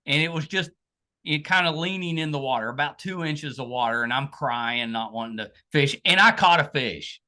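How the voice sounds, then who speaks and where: A man's voice: on the high side, moderate pace, pushed and bright. A man hosting a casual interview, with folksy, easygoing conversational phrasing. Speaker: podcast interviewer, host.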